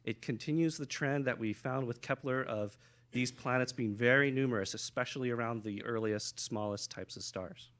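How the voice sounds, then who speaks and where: A man's voice: medium pitch, normal speed, fairly bright tone. A man on a science panel, explaining research findings with enthusiasm. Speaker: astronomer panelist. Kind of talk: conversational panel talk.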